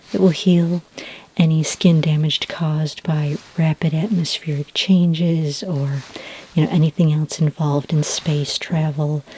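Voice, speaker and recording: A woman playing a calm, helpful sci-fi skin care consultant in a soft-spoken ASMR roleplay, gentle and reassuring, often close to a whisper. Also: sits low, slightly rough, poor audio. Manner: gentle, reassuring, hesitant and informal explaining.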